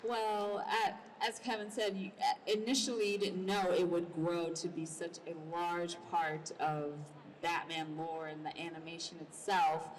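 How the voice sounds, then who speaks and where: A woman's voice: fairly low pitch, flat delivery, unhurried. A woman moderator posing a considered question to a panel of guests. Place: convention panel before an audience. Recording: distant, noisy convention panel sound.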